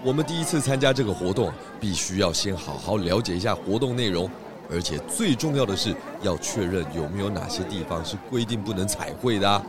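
A man playing a calm, kindly cartoon pig detective who explains things patiently and with gentle amusement in a children's radio drama. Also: fairly low pitch, a little fast, a crowd in the background, close to an everyday voice.

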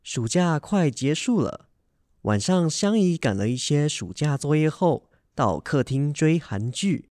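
A man's voice: on the high side, expressive, unhurried, a little mellow, dry recording. A man narrating a family radio drama in a scripted storytelling style.

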